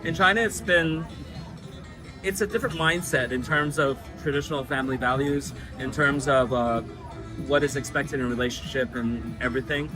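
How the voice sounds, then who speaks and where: A man from the United States, a guest chatting casually in an interview, relaxed and full of fillers. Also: medium pitch, normal speed, over music, low-quality recording. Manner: relaxed, frequent fillers and laughs.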